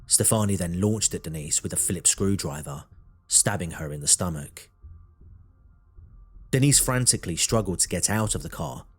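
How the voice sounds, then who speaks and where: A man narrating a scripted true crime documentary in a measured, dramatic storytelling manner. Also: low voice, expressive, slightly rough, faint music behind.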